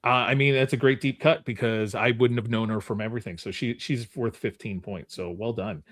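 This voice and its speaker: A man's voice: on the low side, fairly even intonation, fairly quick, dry recording, studio quality. A man hosting a film trivia game, chatting casually and keeping score. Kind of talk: casual chat.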